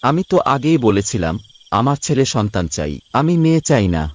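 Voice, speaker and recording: A man's voice: medium pitch, big pitch swings, normal speed, dry recording, close to an everyday voice. A man voicing a harsh father in a cartoon who scornfully rejects his daughter.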